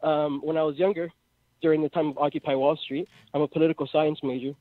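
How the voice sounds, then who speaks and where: A man's voice: fairly high pitch, little pitch movement, fast talker, dry recording. A man in his twenties with a Mexican accent, calling in to a live show and rambling through his idea with many fillers. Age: 20s. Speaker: caller. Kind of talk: phone-in call to a live show. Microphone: phone. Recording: tinny phone line.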